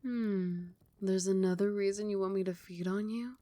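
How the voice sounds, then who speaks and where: Soft, whispery vampire queen acted by a woman. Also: mid-range voice, steady tone, moderate pace, no room sound, lightly acted. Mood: curious, teasing. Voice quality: soft, breathy delivery, often dropping to a whisper. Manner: tender, soothing, regal endearments. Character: doting, possessive vampire queen soothing her beloved pet. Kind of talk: ASMR roleplay.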